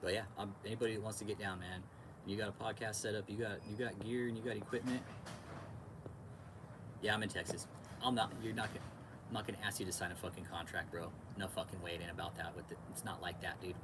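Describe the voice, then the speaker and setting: American guy on a livestream, lowish voice, says dude and man a lot, swears, some noise behind him. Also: level tone, fast. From California, United States. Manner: laid-back slangy bro-talk, repeats phrases, swears freely. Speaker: podcast and livestream host. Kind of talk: casual livestream chat with viewers.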